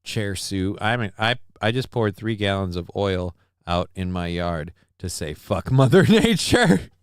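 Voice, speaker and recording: Smooth-voiced joking stream host. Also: male voice, fairly low pitch, sing-song, unhurried, dry recording, studio quality. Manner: profane, joking, reads viewer comments aloud; mock-grand war speech delivery. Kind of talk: casual live stream chat. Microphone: desk microphone.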